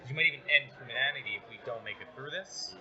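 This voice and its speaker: A man's voice: medium pitch, fast talker, sharp tone. A man hosting a podcast, chatting casually and emphatically at a busy campus bar, rambling with lots of fillers, recorded on a phone. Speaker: podcast host. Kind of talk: casual tipsy chat among friends. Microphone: phone on the table. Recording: lo-fi phone recording in noisy crowd. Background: crowd chatter.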